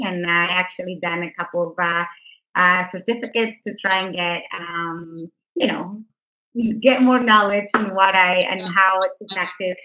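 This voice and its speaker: A woman's voice: fairly low pitch, pushed and bright. A woman from Canada casually introducing herself on a webinar, chatty with many fillers and restarts. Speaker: co-founder presenting on a webinar. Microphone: video call. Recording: lo-fi online call audio.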